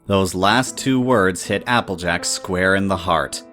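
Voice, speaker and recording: A woman's voice: very deep voice, unhurried, fairly bright tone, faint music behind. A woman reading scripted third-person story narration as the narrator.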